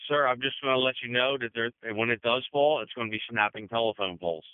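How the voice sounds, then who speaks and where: A man's voice: mid-range voice, fast, clear, resonant tone, no room sound. A man on a road crew reporting in over two-way radio in a plain-spoken, blunt manner. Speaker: highway department road crew worker. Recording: muffled, dry two-way radio transmission.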